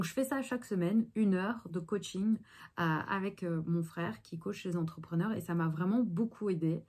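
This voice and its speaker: A woman talks through her day in a casual vlog. She rambles, restarts and fills pauses freely. Her voice sits a little low. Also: darkish tone. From Belgium. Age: 30s. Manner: chatty, rambling with many fillers. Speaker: actress vlogger.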